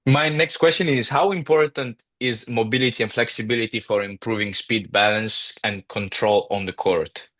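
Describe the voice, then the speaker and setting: A man's voice: fairly low pitch, fairly even intonation, normal speed, few pauses, fairly bright tone, no room sound, studio quality. A young man from Italy with an Italian accent, whose first language is Italian, asking prepared interview questions carefully as a student. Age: young adult. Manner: reads questions carefully, with brief yeahs.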